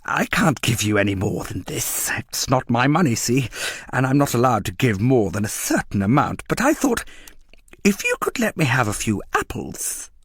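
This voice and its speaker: A man's voice: mid-range voice, big pitch swings, normal speed, a bit bright, breathy edge, dry recording, studio quality, pitched above the performer's own voice. A man voicing a stiff, calculating travelling dealer who wheezes and wheedles while he bargains. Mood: bargaining.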